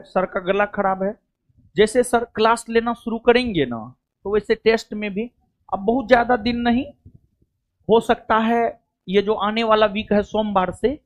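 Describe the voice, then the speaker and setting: A man talking informally to his students in a coaching classroom, rambling and often repeating himself. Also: sits high. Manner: chatty, rambling, repeats "theek hai". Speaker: coaching teacher.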